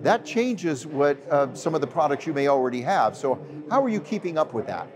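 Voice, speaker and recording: A man's voice: fairly high pitch, big pitch swings, brisk. An older man interviewing a guest at a trade fair, asking long, meandering questions. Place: busy trade fair hall, indoors. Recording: crowd noise of a trade fair. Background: crowd chatter.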